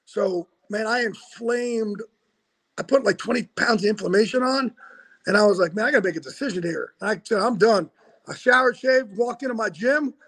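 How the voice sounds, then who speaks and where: A man with a raspy voice, a guest calling in from home, chatting casually and loosely with lots of fillers. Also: sits high, normal speed, a bit bright. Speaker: interview guest, football insider. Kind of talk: casual interview chat.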